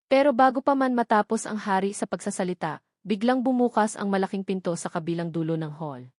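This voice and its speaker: Filipina narrator of dramatic romance. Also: female voice, medium pitch, a little mellow, no room sound, studio quality. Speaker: audiobook narrator.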